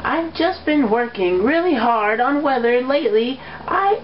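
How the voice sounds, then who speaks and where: A woman's voice: sits high, animated, measured pace. A woman voicing a brash young female pegasus who blusters and falters, in an amateur fan fiction reading. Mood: blustering unease. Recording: muffled, noisy home recording.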